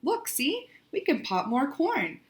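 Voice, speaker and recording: A woman voicing a small, caring male mouse in a children's storybook, fretful and soothing in a gentle, coaxing way. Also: sits high, wildly swooping pitch, slow, voice pushed up, full character voice.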